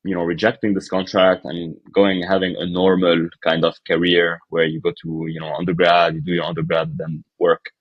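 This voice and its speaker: Deep-voiced young man from Lebanon. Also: no room sound, muffled recording. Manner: hesitant, many fillers and restarts. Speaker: podcast guest. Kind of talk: casual interview storytelling.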